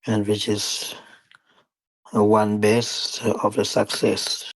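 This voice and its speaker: Slow, low-voiced man guiding meditation. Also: completely flat delivery, vocal fry, no echo, low-quality recording. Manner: deliberate, halting phrasing with long pauses. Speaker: meditation teacher. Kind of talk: guided meditation dharma talk.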